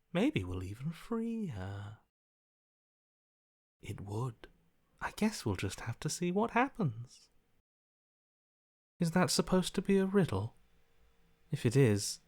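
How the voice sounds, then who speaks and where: A man playing a cold, bored, detached inner voice in a video game, aloof and deadpan, indifferent to death. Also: a little high, dry recording, clean studio sound, full character voice. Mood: coolly menacing. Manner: deadpan, aloof, slow and deliberate. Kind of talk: video game character voice acting.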